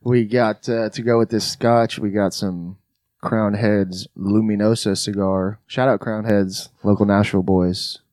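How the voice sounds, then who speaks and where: Low-voiced man with a Southern accent. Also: fairly even intonation, a little slow, a little mellow, no echo. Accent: Southern US, picked up. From California. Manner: easygoing, affirming, chatty. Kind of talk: casual podcast chat.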